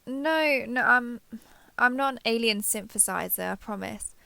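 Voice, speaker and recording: A woman's voice: fairly high pitch, measured pace, creaky, no room sound, exaggerated voice. A woman playing a lonely, clingy alien posing as a human survivor in an intimate audio roleplay, speaking hesitantly and coaxingly to the listener. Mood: nervous, earnest. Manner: hesitant, coaxing, softly over-eager to please.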